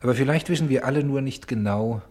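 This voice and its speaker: A man acting as an insistent, solemn narrator and director in a German radio play, speaking deliberately with emphatic pauses. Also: mid-range voice, a little mellow, reverberant, natural voice. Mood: earnest, explaining. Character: solemn, insistent male stage narrator presenting a grave play. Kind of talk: theatrical German radio play, addressing the audience.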